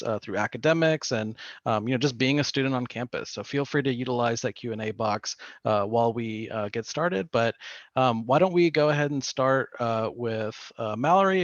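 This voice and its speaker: A man's voice: mid-range voice, dry recording, muffled recording. Casual man hosting an online panel. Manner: casual, many filler words. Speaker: admission officer hosting a student panel.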